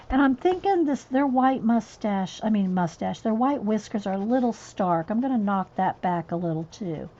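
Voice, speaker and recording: Chatty woman on a dull livestream mic. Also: a little high. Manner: chatty, rambling, reads chat messages aloud and answers viewers. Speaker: livestreaming coloring artist. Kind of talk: casual chat while coloring on a livestream.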